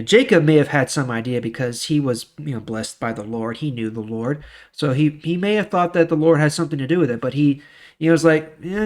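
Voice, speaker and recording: A man hosting a daily Bible show, reading scripture and giving casual devotional commentary. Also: mid-range voice.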